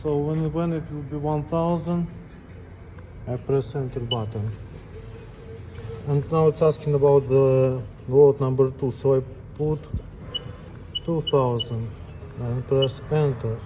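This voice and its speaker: A man giving an unscripted step-by-step equipment tutorial as an instructor, speaking plainly and haltingly in short phrases. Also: medium pitch, fairly even intonation, music in the background. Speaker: instructor demonstrating equipment calibration.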